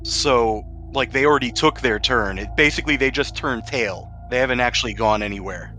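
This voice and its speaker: A man's voice: medium pitch, brisk, pushed and bright, soft background music. A man narrating a tabletop roleplaying game as game master, switching between dramatic description and casual rules talk.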